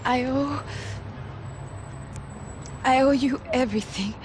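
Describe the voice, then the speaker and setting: An actress playing a grateful young wife in a video game, halting and earnest. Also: female voice, sits high. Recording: noisy background.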